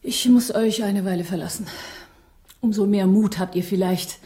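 A breathy, medium-pitched woman's voice, an actress as a dignified English gentlewoman in a radio play. She speaks quietly and measuredly, with composure. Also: normal speed, lightly acted. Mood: composed, quietly proud. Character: composed, dignified English daughter of a late poet. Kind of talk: literary radio drama acting.

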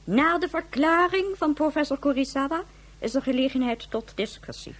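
A woman's voice: high voice, normal speed, close to an everyday voice. An actress playing a stern, sceptical female government leader in a Dutch radio drama, speaking formally and with authority.

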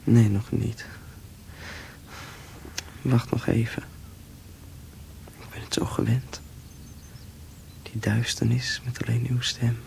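Frail, whispering young convalescent. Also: male voice, mid-range voice, level tone, darkish tone. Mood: drowsy, hesitant. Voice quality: breathy, often whispering. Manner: halting, slow, intimate. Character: frail convalescent young man, yearning and tender. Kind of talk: Dutch radio drama acting.

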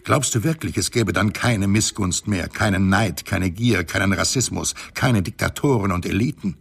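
An actor playing a gruff, cynical space-station veteran in a science fiction radio drama, blunt and scolding. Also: male voice, sits low, moderate pace, heavily acted. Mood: stern, exasperated.

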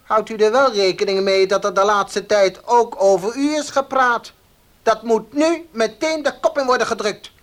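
A man's voice: sits high, fairly even intonation, normal speed, natural voice. An actor playing a pushy, indignant village baker in a radio play, insistent and bossy in his delivery. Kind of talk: Dutch radio play acting.

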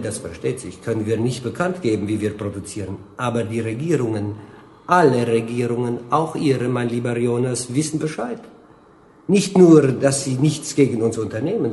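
A man plays a suave crooked president in a radio drama, with a middle-pitched voice in an echoey space. He is oily and condescending, orating with smug self-satisfaction. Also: darkish tone, close to an everyday voice. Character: smug, suave male villain president of organ trade. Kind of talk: German radio play acting. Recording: echoey room sound.

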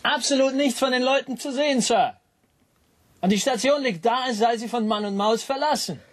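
High-voiced, composed male officer. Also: moderate pace. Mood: matter-of-fact. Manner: matter-of-fact, respectful reporting. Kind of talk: 1980s German science fiction radio play.

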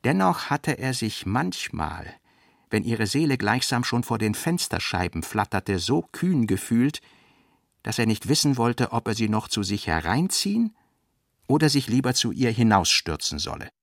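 A man narrating literary prose for a radio play in a measured, deliberate manner. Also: medium pitch, very animated, creaky, no echo, clean studio sound. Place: dry studio.

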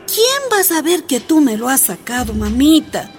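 A woman's voice: high-pitched, big pitch swings, moderate pace, soft background music. An actress playing a jailed miner's wife and young mother, pleading and defiant in a radio drama. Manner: pleading then defiant, emotional.

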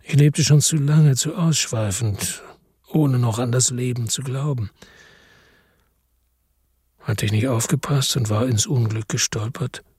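Husky man narrating, brooding. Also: medium pitch, darkish tone, no room sound, clean studio sound. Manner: measured, brooding, melancholic. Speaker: audiobook narrator. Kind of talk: first-person literary narration.

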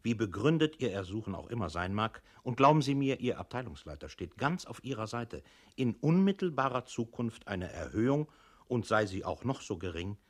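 An actor playing a methodical, formal narrator who recites bureaucratic instructions in a radio play. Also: male voice, on the low side, sing-song, moderate pace. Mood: matter-of-fact.

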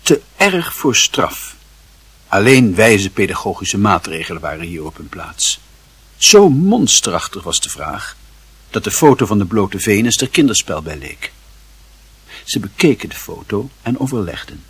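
A man with a low, very husky voice narrates a radio story. He is wry and theatrical, with lively swings in pitch. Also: measured pace, no echo.

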